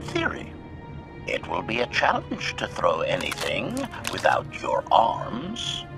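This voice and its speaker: An actor playing a deadpan, coolly threatening assassin droid, formal and robotic, in a video game. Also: male voice, fairly low pitch, full character voice. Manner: clipped one-word announcements, slow and formal. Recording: music behind the voice.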